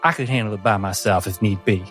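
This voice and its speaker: A tiny adventurer played by a man speaks with eager courtesy and earnest self-importance. He is boyishly polite and proud of himself, a tabletop game figure with soft music underneath. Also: on the low side, fast talker, voice pushed down, natural voice. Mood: eager, confident.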